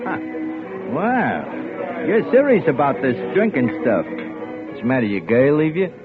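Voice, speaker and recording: An actor playing a wary, sly bartender in an old-time radio drama, casual and noncommittal. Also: male voice, a little high, wildly swooping pitch, measured pace. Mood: teasing. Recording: muffled vintage radio recording with music.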